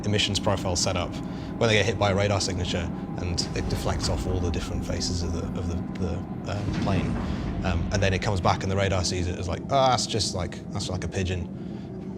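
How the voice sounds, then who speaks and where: A man talking casually and technically as a game developer, halting as he thinks aloud. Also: low voice, fairly even intonation, loud music behind the voice. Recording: loud vehicle noise behind voice.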